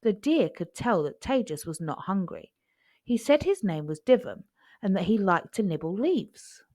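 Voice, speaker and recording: Children's story narrator: woman, animated intonation, expressive read-aloud, dry close recording. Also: mid-range voice, moderate pace, dry recording.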